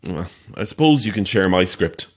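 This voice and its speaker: A man's voice: low voice, lively intonation, moderate pace. An Irish man playing a chatty, boastful small-time actor who is very pleased with himself, in a naturalistic audio drama. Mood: chatty, confiding. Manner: boastful, chatty, theatrical asides.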